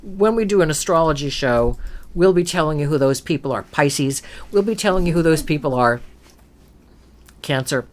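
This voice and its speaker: Low-pitched female talk host, emphatic and preachy, fond of rhetorical questions. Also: expressive, moderate pace. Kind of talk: opinionated spiritual talk.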